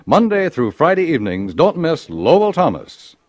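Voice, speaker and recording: Lively, dry-sounding male radio announcer. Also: a little high, moderate pace, no echo. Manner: polished, emphatic, declamatory. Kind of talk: 1950s scripted network radio announcing.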